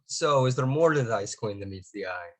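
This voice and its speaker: A man's voice: lowish voice, expressive, a little fast. A man reading the part of a cocky teen boy who teases a girl, in an amateur table read. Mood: teasing. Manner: teasing, laughs often.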